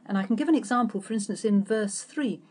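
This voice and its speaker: A woman's voice: medium pitch, fast, darkish tone. A woman speaking earnestly and articulately about translating poetry.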